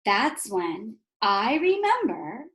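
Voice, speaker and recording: High-pitched woman reading aloud to children. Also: slow. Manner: animated, instructive, asks rhetorical questions of young listeners. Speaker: teacher reading a picture book aloud to young children. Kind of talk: expressive read-aloud with explanatory asides.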